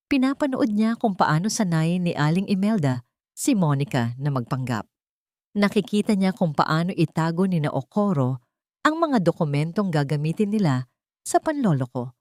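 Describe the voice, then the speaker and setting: A woman's voice: on the low side, a little mellow, no room sound, studio quality. A woman reading a scripted dramatic story as narrator.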